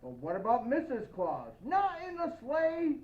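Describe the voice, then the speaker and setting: Female storybook reader in a Santa persona, high voice, playful and expressive, slightly dull lo-fi sound. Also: unhurried. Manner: playful, expressive, addressing young listeners. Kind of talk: children's picture-book read-aloud with playful asides.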